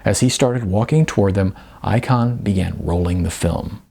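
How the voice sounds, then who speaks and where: A man's voice: on the low side, normal speed, vocal fry, mellow, slight background noise. A man reading scripted story narration as an audiobook narrator.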